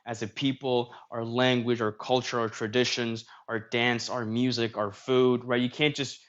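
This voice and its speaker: A man's voice: mid-range voice, little pitch movement, normal speed, dull audio. A man hosting a livestream in a casual, talkative way, reading social media posts aloud and commenting on them. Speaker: streamer host.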